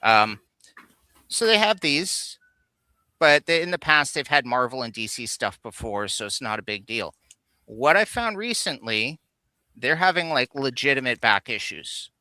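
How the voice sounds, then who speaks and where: A man with a very bright, punchy voice that comes through clean and crisp, close and dry. He co-hosts a livestream in a casual, enthusiastic, rambling way. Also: mid-range voice, very animated, measured pace, no room sound. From Canada. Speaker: co-host of a geek culture livestream. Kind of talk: casual chat, showing off finds.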